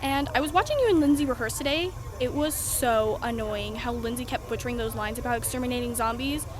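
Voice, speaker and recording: A woman playing a snobby, jealous, bossy high school girl with a haughty, whiny manner in an audio drama. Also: fairly quick, lightly acted, rough recording. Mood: catty. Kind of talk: scripted audio drama acting.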